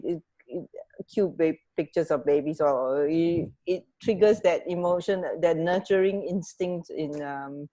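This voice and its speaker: A woman with a very mellow, slightly low voice, chatting casually as a radio co-host. Her phrasing is halting, with words repeated as she looks for the next thought. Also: lo-fi sound. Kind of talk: casual chat.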